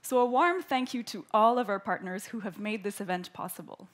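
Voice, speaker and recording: A woman from Canada giving the formal welcome speech at a conference, clear and orderly as she reads prepared remarks into a stage microphone. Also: medium pitch, brisk. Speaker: event host, opening speaker. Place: conference hall.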